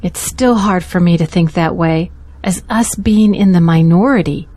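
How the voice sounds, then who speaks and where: A woman's voice: fairly low pitch, normal speed, mellow, no room sound, lightly acted. A woman acting as a devout, supportive confidante of a pastor in an audio drama, speaking earnestly and reassuringly. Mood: troubled, earnest.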